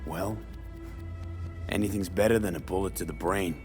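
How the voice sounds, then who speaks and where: A man's voice: deep voice, fairly even intonation, moderate pace, a little mellow, soft background music, lightly acted. An actor playing a tough, blunt male mercenary in a video game, terse, pushy and skeptical. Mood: skeptical, dry. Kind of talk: video game dialogue acting.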